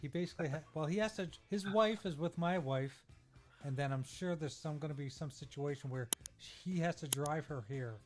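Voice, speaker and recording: Joking stream host, man with a slightly high voice. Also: normal speed. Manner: joking, banters with the chat while adjusting his mic; talks over beer, relaxed and cussing. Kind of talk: casual live-stream chat.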